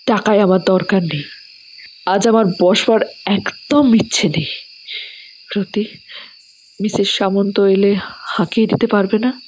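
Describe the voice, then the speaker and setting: A woman's voice: mid-range voice, measured pace, pauses often, a little mellow, a little hiss or hum, lightly acted, poor audio. An actress playing a weary, reluctant female spirit medium, languid and strained, in an audio horror drama. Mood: reluctant, bitter.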